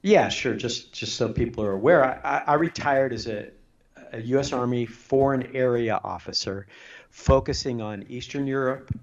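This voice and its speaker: A man's voice: medium pitch, normal speed, lo-fi sound. A middle-aged man who is a retired army intelligence officer, giving earnest political commentary as a radio guest and often restarting his sentences. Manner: earnest, stop-start, repeats words while thinking.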